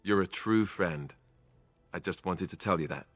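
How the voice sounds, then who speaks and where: An actor voicing a wry, self-deprecating young warrior in a fantasy video game, loyal, a little awkward and conversational. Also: male voice, low voice, moderate pace, dry recording. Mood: earnest, awkward. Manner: joking, hesitant, conversational.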